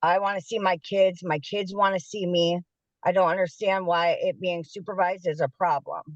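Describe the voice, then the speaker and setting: A woman's voice: fairly low pitch, fairly even intonation, normal speed. Woman on a dull video call. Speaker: mother, party in a custody hearing. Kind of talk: plain, informal answers in court. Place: inside a car, on a video call.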